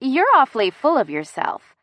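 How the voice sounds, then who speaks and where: A woman's voice: fairly high pitch, animated, moderate pace, no echo, natural voice. A woman voicing a sassy, easily flustered young heroine with bantering, defiant delivery in a romance audiobook. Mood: indignant.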